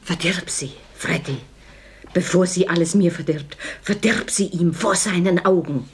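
An actress playing a scheming, jealous woman in a 1950s radio drama, urgent and conspiratorial with a husky voice. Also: on the low side, unhurried. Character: scheming, jealous woman urging another's ruin.

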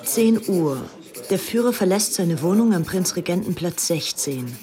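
Low-voiced, determined young woman. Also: lively intonation, a little slow, mellow. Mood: intent, observing. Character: determined, obsessive young English woman infatuated with a leader. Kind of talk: radio drama monologue and dialogue.

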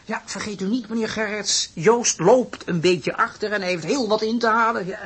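Flustered crooked professor, radio play, husky voice, stammering, obsequious, repetitive. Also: male voice, high voice, moderate pace, fairly bright tone. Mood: slick, persuasive. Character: smooth, swindling, flustered male university professor.

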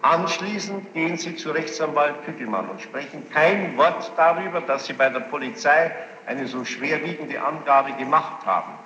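An archival, muffled courtroom recording with heavy reverb and clear noise. A man speaks as presiding judge. He questions formally and insistently, laying out each point deliberately. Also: on the high side, sharp tone. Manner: formal, probing, deliberate phrasing with insistent recapitulation. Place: large reverberant courtroom with gallery. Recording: noisy, muffled 1960s courtroom tape, echoing hall.

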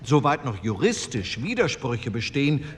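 A man's voice: medium pitch, big pitch swings, normal speed, close to an everyday voice. An actor playing a male postwar delegate, giving formal, argumentative parliamentary speech in a radio drama. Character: male postwar CDU delegate, earnest and persuasive. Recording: reverberant hall with faint room noise.

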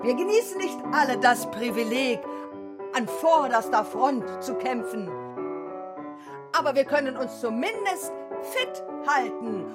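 A bossy young fitness instructor in a radio drama. Her high voice swings widely as she barks orders in slow, measured counts, over loud piano music. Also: big pitch swings. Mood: exhorting, bossy. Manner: barking drill commands and rhythmic counting. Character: young sinewy female telescreen gymnastics instructor, brisk and bossy. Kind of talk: radio play acting.

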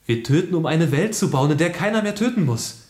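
An actor playing a sensitive, idealistic young revolutionary in a German radio play, speaking earnestly and with passion. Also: male voice, fairly high pitch, expressive, fairly quick, a little mellow, roomy sound, close to an everyday voice. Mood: impassioned. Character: idealistic, sensitive young male revolutionary who loves life.

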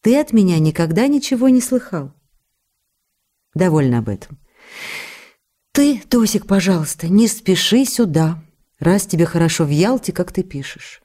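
A woman's voice: on the low side, big pitch swings, moderate pace, pauses often, dark tone. An actress playing a loving, wounded young wife, reading her letters in an intimate, earnest manner in a radio play. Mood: tender, remorseful.

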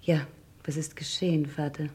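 An actress playing a practical grown daughter in a vintage radio crime play, dry and unruffled. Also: female voice, low voice, level tone, normal speed, mellow, natural voice. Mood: dry, cool. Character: cool, practical grown daughter managing family affairs. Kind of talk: vintage German radio crime drama acting.

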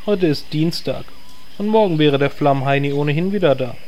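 Man acting high-ish, laid-back druid. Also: moderate pace, a little mellow, natural voice. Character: laid-back, polite male druid in fantasy parody. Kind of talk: parody audio drama.

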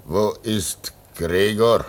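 Slow, pious polar radio operator. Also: male voice, lowish voice. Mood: alarmed. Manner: theatrical, drawn-out, prayerful. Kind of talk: German radio play acting. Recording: noisy old radio drama recording.

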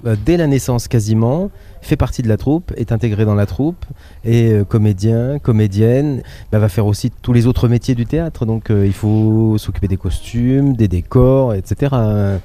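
A man hosting a travel show who prompts his guest with questions in a relaxed conversational interview, recorded outdoors in a public garden. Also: mid-range voice, normal speed.

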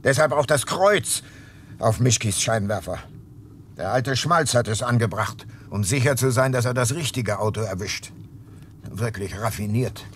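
An actor playing a dogged, wry private detective talking in a dry, matter-of-fact way in a crime radio drama. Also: male voice, mid-range voice, unhurried, close to an everyday voice. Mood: explaining, intent. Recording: noisy background ambience.

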